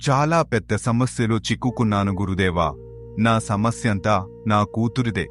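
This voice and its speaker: A man's voice: fairly low pitch, moderate pace, fairly bright tone, soft background music, close to an everyday voice. A man voicing a worried, dutiful king and troubled father in a cartoon moral story.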